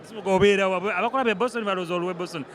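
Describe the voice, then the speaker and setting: A man interviewing people outdoors at an event for a TV channel, asking brisk, teasing questions. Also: high voice, fairly bright tone.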